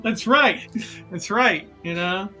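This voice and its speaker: Casting: tabletop game player, medium pitch, forceful bright tone, self-justifying rambler, faint music underneath. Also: slow. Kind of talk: casual in-character roleplay discussion.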